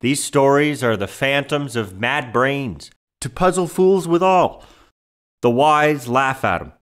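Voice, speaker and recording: A man plays a sober, courteous gentleman lover in a period audio comedy. His mid-pitched voice is slow, formal and deliberate. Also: expressive.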